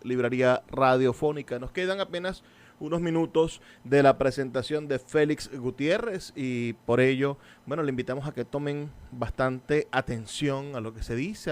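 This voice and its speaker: A man hosts a book radio show in a clear announcer's manner. He asks his guest questions and invites listeners to write in. His voice sits at an ordinary middle pitch. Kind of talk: radio interview hosting and announcements.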